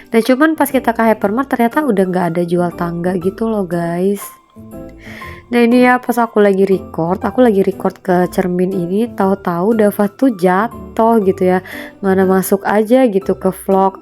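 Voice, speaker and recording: A woman's voice: mid-range voice, a little mellow. A young woman narrating a casual home vlog in a chatty way, her voice a little stuffy from a cold. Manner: chatty, fillers like gitu and guys. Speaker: vlogger, homemaker.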